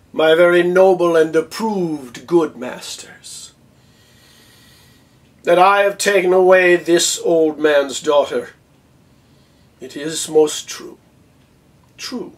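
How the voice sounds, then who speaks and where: Guy doing a proud shakespeare general, kinda distant from the mic, slow stately speech that drops to a hush at phrase ends. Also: on the high side. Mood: formal, dignified. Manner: measured, stately, with long pauses and hushed phrase endings. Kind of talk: theatrical Shakespeare monologue recitation.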